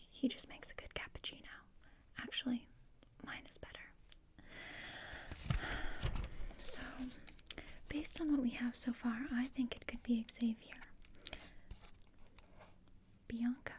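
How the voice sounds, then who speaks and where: A woman voicing a gloomy, sardonic teenage outcast girl in a whispered ASMR roleplay, speaking in a deadpan, haughty, breathy whisper. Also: on the high side, a little hiss or hum, natural voice. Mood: dry, defensive.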